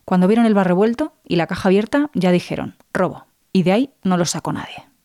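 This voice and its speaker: A woman's voice: fairly low pitch, lively intonation, quick pace, dark tone. A woman playing a murder victim's earnest, grieving sister, giving documentary-style testimony and insisting on justice. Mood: wistful, doubtful.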